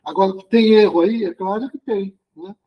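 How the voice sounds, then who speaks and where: Husky, high-voiced man; reflective group leader who explains at length and checks in with his listeners. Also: normal speed, dull audio. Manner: musing, explanatory, frequent tag questions.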